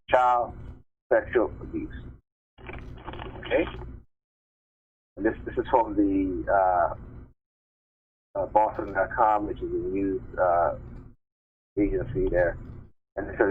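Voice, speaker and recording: Male call host, fairly deep, emphatic and informal news commentator, muffled recording. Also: breathy edge. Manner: emphatic, opinionated, often seeks agreement with tag questions. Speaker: live stream call host. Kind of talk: informal commentary on news articles, partly read aloud.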